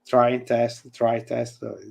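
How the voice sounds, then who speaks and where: A man's voice: mid-range voice, completely flat delivery, gravelly. A man with an Italian accent speaks in English, his second language, over a video call. He gropes for words, restarting phrases and filling gaps with "uh" and "let me say". His call audio drops in and out now and then. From Italy. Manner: halting, searching for words, frequent fillers and restarts. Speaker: guest presenter and university lecturer. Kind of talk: casual chat and live coding demo on a video call. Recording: audio fading in and out.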